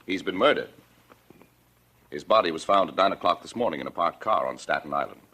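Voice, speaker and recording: Hard-boiled male homicide inspector: deep, rough, forceful, official, vintage radio crime style. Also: expressive, fairly quick, exaggerated voice. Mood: probing. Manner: clipped, official questioning. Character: tough, businesslike New York homicide inspector, male. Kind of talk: 1940s radio crime drama acting.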